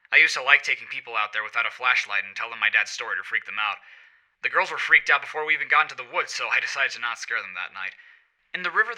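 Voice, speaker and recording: A man's voice: medium pitch, pushed and bright, poor audio. A man casually reading a first-person account of strange sightings. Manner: casual and conversational. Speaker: witness reading his account.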